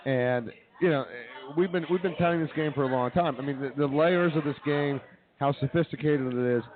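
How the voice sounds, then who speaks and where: A man's voice: fairly high pitch, brisk. A man co-hosting a comedy radio show in casual discussion, speaking emphatically and repeating phrases for effect. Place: live show at a brewing venue. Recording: phone-like thin audio with crowd chatter. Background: crowd chatter.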